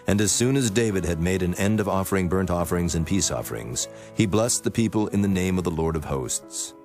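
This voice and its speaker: A man narrating scripture from a script in a solemn, measured manner. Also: low voice, lively intonation, darkish tone. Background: music.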